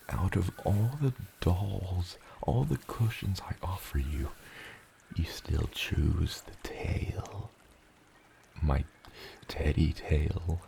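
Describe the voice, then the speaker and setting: A man voicing a gentle, doting father dragon in an ASMR roleplay, murmuring slowly and tenderly and often dropping to a whisper. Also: fairly even intonation, a little hiss or hum, exaggerated voice. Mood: playful, teasing. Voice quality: very deep, gravelly. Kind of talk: ASMR roleplay, addressing the listener as his child.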